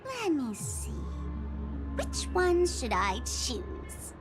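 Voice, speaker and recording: A woman's voice: sits high, huge pitch swings, extremely slow, full character voice. A woman playing a playful, sadistic young female bomber villain in a video game, with mocking, theatrical delivery. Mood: playful, menacing. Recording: music under the voice. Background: background music.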